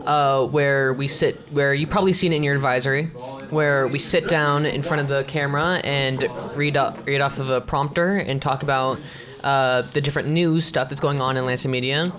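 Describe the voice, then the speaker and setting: A man's voice: medium pitch, fairly even intonation, moderate pace, a crowd in the background. A teenage boy explaining his school media club in an easygoing casual chat, with some fillers. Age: teens. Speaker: student club member. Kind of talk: casual round-table chat.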